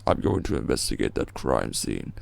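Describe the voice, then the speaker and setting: A man playing a grim, terse, brooding masked vigilante with a gravelly voice in an amateur video game fan dub. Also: extremely low pitch, sing-song, normal speed, dry recording, full character voice. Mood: grim resolve.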